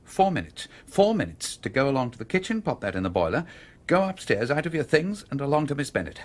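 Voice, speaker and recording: Urgent, commanding conspirator. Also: male voice, mid-range voice, big pitch swings. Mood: urgent, controlled. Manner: instructing in short, deliberate phrases. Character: controlling male conspirator, urgent, coolly commanding. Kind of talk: radio play acting.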